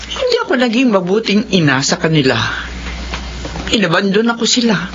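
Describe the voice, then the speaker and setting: A woman's voice: medium pitch, slight background noise, close to an everyday voice. An actress playing a remorseful woman in her sixties in a Filipino radio drama, speaking in an earnest, pleading, confessional manner. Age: 60s. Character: remorseful 60-year-old mother seeking her abandoned children. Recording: muffled radio drama audio with music behind.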